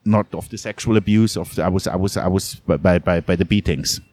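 A middle-aged man from Germany, a journalist being interviewed, talking in a halting way with many restarts and repeated words. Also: low-pitched, darkish tone. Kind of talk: candid conversational interview.

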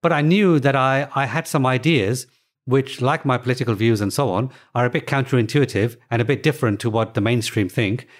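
A middle-aged man, the guest of an interview, talking in a relaxed, thoughtful way with plenty of "you know" fillers. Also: medium pitch, brisk. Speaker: guest, author of language books.

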